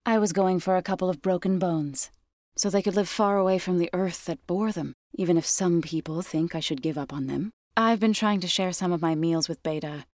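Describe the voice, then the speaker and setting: Low-pitched, earnest warrior woman, played by an actress. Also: a little fast, a little mellow, no room sound, studio quality, natural voice. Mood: earnest, steady. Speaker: video game character. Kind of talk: scripted video game dialogue.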